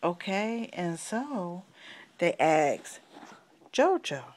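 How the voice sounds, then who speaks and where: A middle-aged woman, a grandmother improvising a children's story with long pauses and coaxing questions. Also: lowish voice, sing-song, a little mellow. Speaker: grandmother telling a bedtime story.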